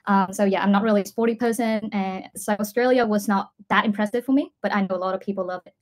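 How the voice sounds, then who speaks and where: A woman's voice: medium pitch, steady tone, fast. Young woman, hesitant and conversational. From Vietnam. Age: 20s. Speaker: English student, data scientist. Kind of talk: casual conversational answers in an online lesson.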